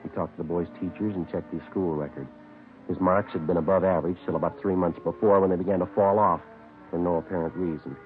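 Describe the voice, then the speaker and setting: Deep deadpan actor as American police detective. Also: male voice, fast talker, soft background music, natural voice. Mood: matter-of-fact. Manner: deadpan, clipped, matter-of-fact. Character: terse, sober male police sergeant narrating his case. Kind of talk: 1940s-50s radio crime drama acting. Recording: muffled, noisy vintage radio recording.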